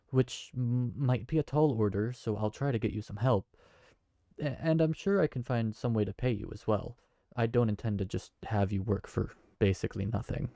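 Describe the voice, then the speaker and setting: Guy playing a polite young tavern owner, a bit flustered, warm low-key voice, dry close mic. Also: creaky, no echo. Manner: polite, earnest, slightly flustered. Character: young man who just inherited a magical tavern. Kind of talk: fantasy audio drama acting.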